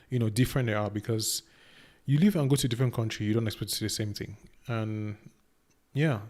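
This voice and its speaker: A young Cameroonian man with a middle-pitched voice talks through his thoughts as a reaction host. His pace is loose and rambling, and he often restarts his sentences. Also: a little mellow. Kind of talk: casual reaction commentary.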